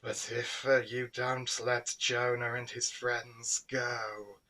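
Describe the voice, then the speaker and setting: A man voicing Death, a cold, stern grim reaper who speaks deeply and softly. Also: completely flat delivery, takes it slowly, distant mic. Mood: stern warning. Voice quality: deep, cold, soft.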